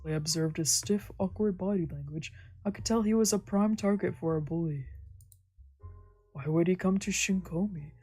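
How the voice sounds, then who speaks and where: A woman's voice: lowish voice, moderate pace, mellow, breathy edge, no room sound, soft background music. A woman streamer reading a visual novel aloud as dramatic narration. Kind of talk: dramatic read-along narration with character dialogue.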